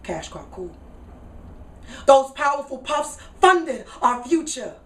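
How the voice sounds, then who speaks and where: A woman from Kentucky with an American accent delivers a theatrical spoken word monologue in dramatic, deliberate phrases with pauses. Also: sits high, big pitch swings, fairly bright tone. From Kentucky, United States. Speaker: solo stage performer and spoken word poet. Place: radio studio live performance. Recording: roomy echo, distant from mic.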